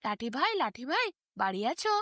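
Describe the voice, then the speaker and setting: Storybook tailorbird, played by a woman: tiny, quick-tempered, wheedling in bright sing-song. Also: high-pitched, big pitch swings, dry recording, clean studio sound, voice pushed down, full character voice. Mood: sing-song calling. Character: small indignant pleading tailorbird, quick to anger.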